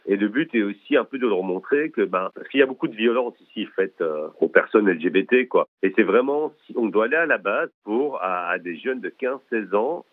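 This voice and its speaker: A man who directs a documentary, talking as a guest with eager, halting restarts. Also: lowish voice, animated, dry recording. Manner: eager, many restarts and hesitations. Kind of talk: remote interview conversation. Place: home in Brussels. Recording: dry tinny phone line.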